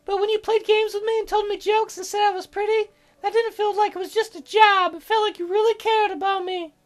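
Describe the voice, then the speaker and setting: A man's voice: very high voice, flat delivery, brisk, faint music behind, voice pushed up. A man voicing a teasing, wistful, stubborn young sick girl in a visual novel, with a hesitant, pleading way of talking. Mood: shy, pleading.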